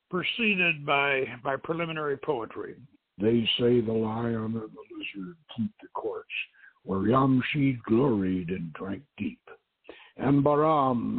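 A man's voice: mid-range voice, vocal fry, breathy edge. A man hosting a radio show, introducing and reading aloud an adventure story, on a muffled narrow-band recording. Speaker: show host and novelist reading own story.

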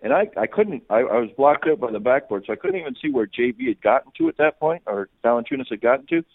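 A man's voice: medium pitch, huge pitch swings, quick pace, no echo. A man giving off-the-cuff sports analysis as a guest reporter, often repeating words and restarting his sentences. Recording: muffled phone-like radio line.

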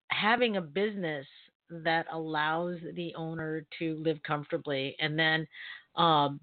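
A woman's voice: sits low, no echo. Chatty woman on a tinny line. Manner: chatty, laughs often, trails off in restarts. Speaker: co-host. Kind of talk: casual online talk show chat. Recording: thin phone-like audio.

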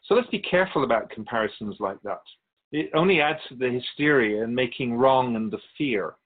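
A wise, wry otherworldly keeper of souls acted by a man speaks in a radio drama with calm caution. His pitch moves expressively as he muses and instructs in measured phrases. The sound is a bit dull and lo-fi. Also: natural voice. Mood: grave, cautioning. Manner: measured, didactic, musing pauses. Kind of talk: radio drama dialogue in interview form.

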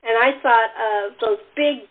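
Woman host, slightly high pitch, casual chat, thin phone-band recording. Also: unhurried. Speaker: host, writer of the radio play. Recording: thin phone-like call audio.

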